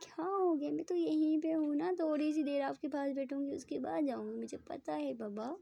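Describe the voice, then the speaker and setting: Woman playing a gentle, teasing young woman. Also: a little high, fairly even intonation, unhurried, gravelly, highs cut off. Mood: soothing. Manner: coaxing, playful, soft.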